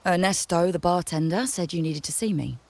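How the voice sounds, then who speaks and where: A woman's voice: lowish voice, fairly quick, darkish tone. A woman playing a curt, aggrieved, no-nonsense trader in a video game. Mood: curt, resentful. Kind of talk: scripted video game voice acting.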